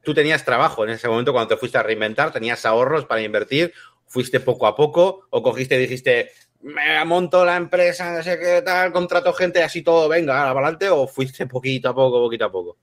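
A man's voice: mid-range voice, fairly quick, a bit bright. Co-host, male, rambling questioner, casual and chatty. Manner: rambling, loose questions full of fillers. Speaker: podcast co-host. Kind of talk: casual interview.

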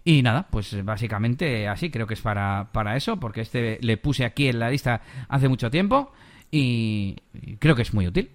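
A man's voice: medium pitch, normal speed, no echo. Man, casual podcast chat, rhetorical questions, dry close sound. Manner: relaxed, conversational, asks rhetorical questions. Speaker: podcast co-host.

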